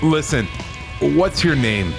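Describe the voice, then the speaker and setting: A man's voice: on the high side, animated, slow pace, vocal fry, over music, full character voice. An actor playing a tense policeman and father, urgently trying to talk someone down in an audio drama. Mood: coaxing. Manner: urgent, coaxing. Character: tense policeman negotiator, father, pleading and rattled.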